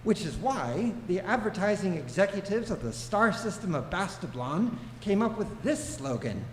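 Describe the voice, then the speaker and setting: Man as a droll, animated guidebook narrator. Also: high-pitched, normal speed. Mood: droll, matter-of-fact. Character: droll male guidebook narrator, dryly matter-of-fact. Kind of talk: scripted comic narration in a live radio play. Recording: echoey live hall, faint noise.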